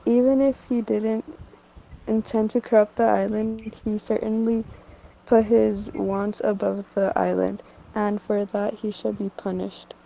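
A woman voicing a female company owner who speaks haltingly, with many fillers and restarts. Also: mid-range voice, little pitch movement, creaky, mellow, rough recording. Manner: hesitant, halting speech with fillers and nervous laughs.

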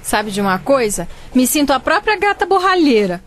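A cheeky sulky daughter. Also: female voice, high voice, very animated, moderate pace, a little hiss or hum. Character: cheeky young adult daughter Ana. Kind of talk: radio drama acting.

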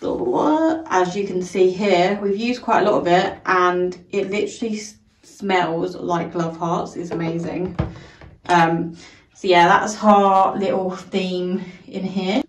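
A young British woman talks slowly through a tour of her decorated home, with gentle apologetic asides about the mess. Her voice comes from a bit away from the handheld phone, and it rings in small echoey rooms. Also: lowish voice, far from the mic, slight background noise. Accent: British English. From United Kingdom. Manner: chatty, apologetic asides, frequent "so" and "um". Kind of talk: casual walkthrough narration. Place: small house, indoors, walking room to room. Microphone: handheld phone camera. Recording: roomy sound, voice away from mic.

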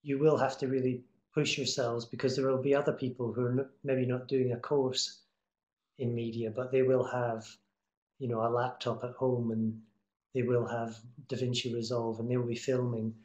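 Middle-aged man, even monotone delivery, casual encouraging mentor, webcam in an echoey room. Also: mid-range voice, normal speed, muffled recording. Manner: casual, encouraging, many fillers and restarts. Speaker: guest speaker, TV promo editor. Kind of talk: online talk answering students' questions. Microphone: webcam on video call. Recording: echoey room over video call.

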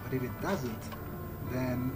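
A man narrates his gameplay in character at a medium pitch. His delivery is hesitant and musing, as if thinking aloud, with loud music behind him. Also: slow. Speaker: gamer role-playing as his character.

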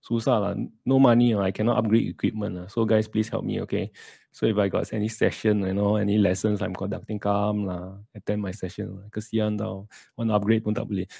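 Malaysian-accented male host in his thirties, low and a bit gravelly, casual livestream Q&A, close dry studio mic. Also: level tone, brisk, mellow, no room sound. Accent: Malaysian English. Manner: chatty, sprinkles lah and Malay words. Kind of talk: casual live Q&A chat. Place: small room indoors. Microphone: USB studio mic on a stand, close.

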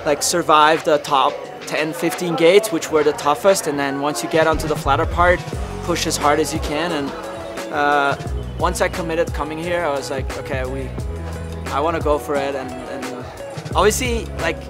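A man's voice: fairly high pitch, steady tone, normal speed, over music. A young man, a pro ski racer, talking casually in an interview in a large indoor hall, rambling with lots of fillers and restarts. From Belgium.

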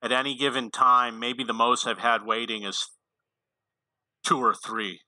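A man's voice: medium pitch, level tone, a little slow, bright, forceful tone, no room sound, poor audio. A man giving casual, unscripted tutorial commentary on a video game in a matter-of-fact way.

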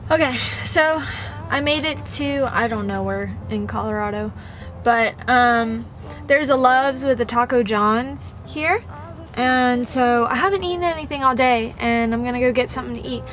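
High-voiced young woman vlogging. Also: normal speed, fairly bright tone, a little hiss or hum. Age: young adult. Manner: chatty, informal. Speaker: trucker vlogger, host. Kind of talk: casual vlog chat to camera. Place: inside a parked semi truck cab.

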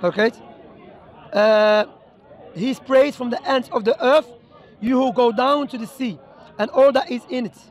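A man with a very high voice argues insistently in a busy outdoor park, often repeating words and reading scripture aloud. He is picked up on a handheld camera close by, with a crowd murmuring around him. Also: a little slow, pauses often. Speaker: street preacher debating a passer-by. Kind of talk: street debate. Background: crowd chatter.

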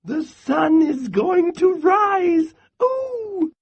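A man voicing an excitable little male squirrel in a storybook, chirpy and pleading. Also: very high voice, extremely slow, lo-fi sound, pitched above the performer's own voice, exaggerated voice. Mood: exultant.

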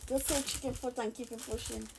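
A woman's voice: a little high, fairly even intonation, fairly quick, vocal fry, noisy background. A woman off camera chatting casually with a friend, insistent and teasingly bossy. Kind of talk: casual chat.

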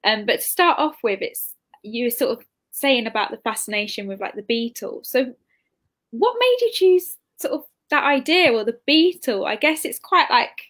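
A young woman hosts a casual livestream interview over a video call. Her voice is fairly high, and she speaks informally, feeling her way through sentences. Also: lively intonation, moderate pace, a bit bright. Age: 20s. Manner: informal, hesitant, often says "like". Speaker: interview host, bookshop assistant manager. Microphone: computer mic on video call.

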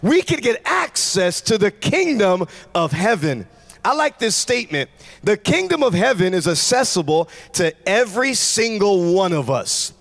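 A man in his forties preaching a sermon in a large church, speaking into a handheld mic with fervent, shouting delivery. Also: sits high, very animated, a little slow. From United States. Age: 40s. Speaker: pastor. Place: large church stage with congregation.

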